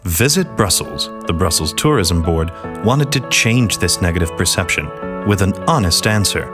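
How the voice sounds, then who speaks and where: Low-voiced man narrating over music. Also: expressive, normal speed. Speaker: narrator of an advertising case film. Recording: voice-over with music bed behind.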